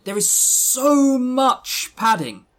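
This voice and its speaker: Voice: high-pitched, very animated, drawn-out words. A podcast co-host chatting casually about games in an exasperated, emphatic, sweary way. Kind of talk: casual visual novel review chat.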